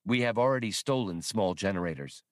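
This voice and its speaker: A man's voice: fairly low pitch, expressive, brisk, no echo, studio quality, close to an everyday voice. A man voicing a solemn alien creature, a rebel leader who speaks in plain, earnest, formal phrases for an audiobook. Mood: earnest, resolute. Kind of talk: audiobook character voice.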